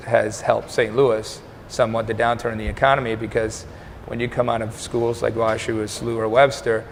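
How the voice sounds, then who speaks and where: Brief: Danish-born man in his thirties, low and gravelly voice, even and measured, faint hiss behind. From Denmark. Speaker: startup incubator co-founder. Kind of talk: interview answers to camera. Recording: faint background noise.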